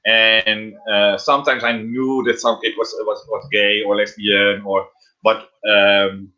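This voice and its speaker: A middle-aged man with a Dutch accent chats in English in a halting way, restarting and repeating words and breaking into laughs. His voice is bright and forceful. The live stream audio is dull and lo-fi. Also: lowish voice, little pitch movement. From Netherlands. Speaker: drag performer and guest. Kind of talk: casual interview chat.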